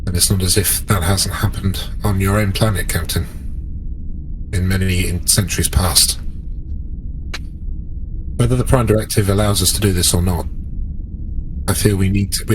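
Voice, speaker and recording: A man's voice: deep voice, normal speed, long pauses, lightly acted, rough recording. A man playing an earnest, principled starship commander in a tabletop sci-fi game, formal and deferential as he reasons aloud. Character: earnest, principled male starship commander advising his captain. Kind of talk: improvised sci-fi tabletop roleplay. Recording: faint background noise.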